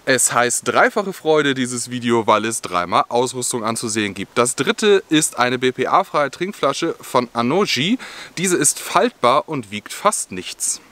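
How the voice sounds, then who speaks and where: A man's voice: mid-range voice, sing-song, unhurried, pushed and bright. A young man hosting an outdoor gear vlog, filmed outdoors in a forest, explaining products in a friendly, explanatory way. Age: young adult. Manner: friendly, explanatory, with scripted-sounding product details. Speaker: outdoor gear channel host.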